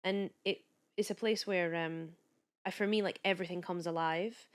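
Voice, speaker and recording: A woman's voice: lowish voice, fairly even intonation, normal speed, clear, resonant tone. A woman in her late thirties from Kuwait, a podcast guest and wellness coach chatting casually and animatedly, with lots of "like"s and restarts. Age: late 30s. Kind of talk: casual chat.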